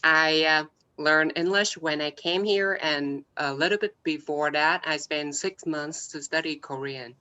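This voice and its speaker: A woman from Vietnam, speaking English in halting, slightly broken phrases as she introduces herself on a video call. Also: low voice, sharp tone, lo-fi sound. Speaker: graduate student panelist.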